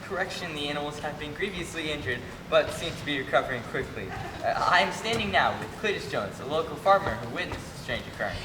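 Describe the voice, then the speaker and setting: An earnest, theatrical male field reporter, acted by a man in a mock radio news play. His voice is fairly high and distant, in an echoey, very noisy space. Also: lively intonation, quick pace, few pauses, lightly acted. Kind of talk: mock old radio news bulletin on stage. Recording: very noisy, echoey stage from afar.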